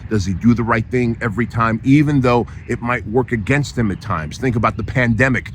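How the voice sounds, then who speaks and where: A hoarse man hosting a podcast, delivering an emphatic motivational monologue and often checking in with "right?". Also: medium pitch, fairly quick, slight background noise. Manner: emphatic, repeats phrases, frequent tag "right?". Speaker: podcast host.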